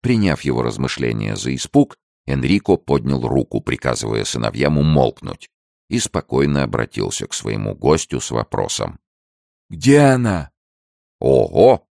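A man narrating a novel with a very deep, gravelly voice. His intonation rises and falls with a lively, dramatic reading style. The recording is dry and close. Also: normal speed, no echo. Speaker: audiobook reader. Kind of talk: expressive scripted narration.

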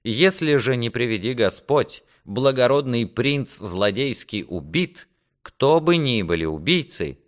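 A man's voice: mid-range voice, lively intonation, unhurried, voice pushed up, lightly acted. Man as a defiant squire, raised voice. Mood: solemn, resolute. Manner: firm, solemn, declamatory. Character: defiant, resolute male squire speaking for the garrison. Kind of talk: audiobook character acting.

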